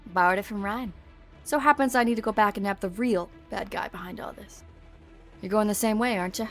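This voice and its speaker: A woman's voice: medium pitch, a little fast, faint music behind, lightly acted. A woman playing a casual, gutsy police officer in a game fan dub, talking offhandedly. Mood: offhand, determined. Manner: offhand, colloquial.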